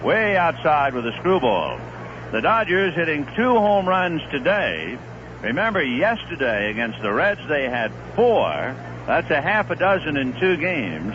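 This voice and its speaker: A man calling baseball on the radio in the 1970s, his fairly high voice swinging widely as he tells stories between pitches and climbs on the big plays. The sound is muffled old radio with a clear hiss underneath. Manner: relaxed storytelling delivery, rising sharply on big plays. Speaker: play-by-play announcer. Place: broadcast booth at a stadium.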